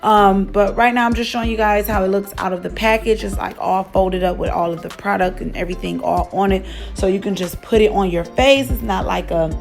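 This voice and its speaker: A young woman beauty vlogger chatting casually and rambling with fillers, her voice a little hoarse from losing it. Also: mid-range voice, fairly quick, faint music behind. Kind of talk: casual chat. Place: vacation lodging, indoors.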